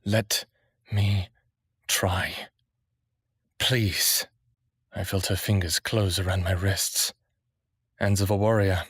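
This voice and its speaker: Low, slow, breathy lovestruck man. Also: level tone, a bit bright, no room sound, studio quality, exaggerated voice. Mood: yearning. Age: 30s. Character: smartass human man, lovestruck, stubborn, narrating his own story. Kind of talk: first-person audio drama narration and dialogue.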